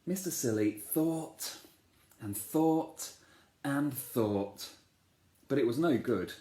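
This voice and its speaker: A man's voice: medium pitch, slow pace. Playful man reading a children's story. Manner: playful, expressive, asks the listener questions. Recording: echoey room.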